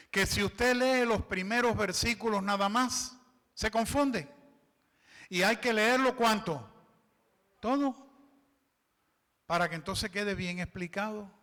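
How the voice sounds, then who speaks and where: A man's voice: high-pitched, sharp tone. A man preaching as a pastor, reading scripture and asking the congregation to fill in the words. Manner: reads scripture aloud and explains it. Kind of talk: sermon. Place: church hall. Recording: long reverb of a church hall.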